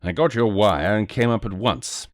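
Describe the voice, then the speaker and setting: A man voicing a bewildered, excitable English nobleman with an agitated, spluttering manner in an audiobook story. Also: lowish voice, moderate pace, dry recording, studio quality, close to an everyday voice. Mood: distraught, urgent.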